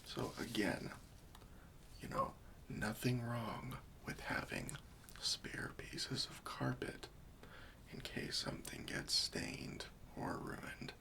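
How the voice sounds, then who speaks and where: A man playing a gentle, patient helper in a softly spoken ASMR roleplay, coaxing and reassuring as he asks questions. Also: mid-range voice, wildly swooping pitch, slow, vocal fry, heavily acted. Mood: patiently questioning. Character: gentle, patient, friendly male helper for hoarders.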